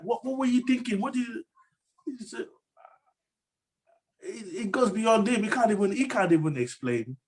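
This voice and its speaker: A man's voice: high voice, darkish tone, poor audio. A man co-hosting a motivational talk show, speaking earnestly and encouragingly with frequent "you know". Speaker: podcast co-host.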